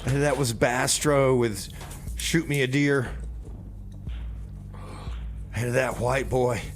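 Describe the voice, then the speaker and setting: A man's voice: medium pitch, steady tone, slow, background noise. A man hosting a community radio music show with casual, offhand banter, often repeating himself.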